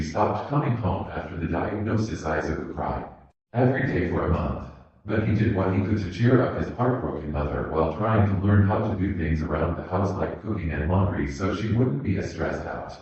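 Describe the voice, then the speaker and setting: Deep-voiced man narrating in a very echoey room. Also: darkish tone. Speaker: fan fiction narrator. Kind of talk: scripted audiobook-style narration. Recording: muffled, very echoey room recording.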